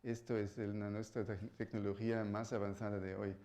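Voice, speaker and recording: A man, not a native speaker of the language, giving a public physics lecture as a professor, halting and searching for words with frequent restarts. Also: on the low side, little pitch movement, mellow. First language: not Spanish. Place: university lecture hall.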